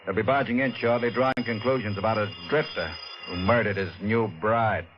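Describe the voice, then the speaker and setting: An actor playing a smooth, menacing schemer who drops to a low murmur, in 1940s radio acting. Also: male voice, a little fast, natural voice. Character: smooth sinister older male schemer. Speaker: scheming villain. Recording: dull noisy old radio recording.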